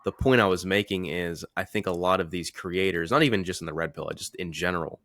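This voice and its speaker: A man with a very deep yet bright voice talks like a podcast host in casual conversation. His wording is informal and slangy, and the recording is dry and close. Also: brisk, no echo. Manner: informal, slangy, occasional swearing. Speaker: podcast host and men's confidence coach.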